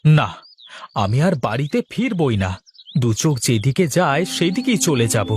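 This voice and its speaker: An actor playing a hapless, aggrieved blind village husband in a cartoon, with exclamatory, theatrical grumbling. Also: male voice, mid-range voice, very animated, normal speed, darkish tone, a little hiss or hum, natural voice. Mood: aggrieved, resolute. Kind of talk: Bengali comic cartoon dialogue.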